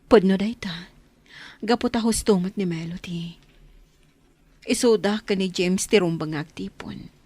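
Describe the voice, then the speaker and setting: Gentle, self-denying lover played by a woman, Ilocano Filipino accent, husky mid-range voice, radio drama. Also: medium pitch, measured pace, pauses often, dry recording, close to an everyday voice. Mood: sorrowful. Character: gentle, self-sacrificing woman in a painful love triangle.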